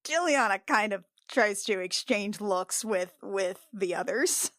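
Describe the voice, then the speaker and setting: A woman's voice: mid-range voice, expressive, unhurried, a bit bright, no room sound, studio quality. A woman casually improvising as a player in a tabletop game, with an informal, conversational manner.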